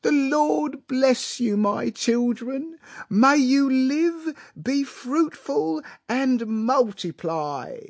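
A man playing an excitable scheming father in a story reading, declaiming pompously with drawn-out exclamations. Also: very high voice, gravelly, no room sound, pitched above the performer's own voice, heavily acted. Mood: tearful, solemn.

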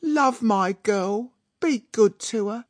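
A man voicing a sentimental, flustered mother with tearful, drawn-out delivery in a story reading. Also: high voice, no echo, studio quality, voice pushed up. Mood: tearful joy.

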